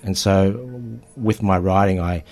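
A man talking reflectively in an interview, halting and repeating words as he searches for phrasing. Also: low voice, darkish tone. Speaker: writer and storyteller.

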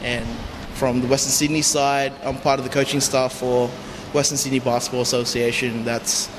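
A man from the Philippines giving unscripted, halting interview answers as a youth coach. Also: mid-range voice, flat delivery. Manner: hesitant, halting phrasing. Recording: long reverb, clear background noise.

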